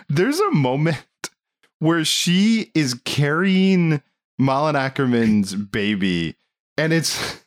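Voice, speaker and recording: A man talking casually as a podcast host, rambling along in an animated, amused way. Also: medium pitch. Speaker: film discussion podcast host. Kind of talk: casual movie review chat.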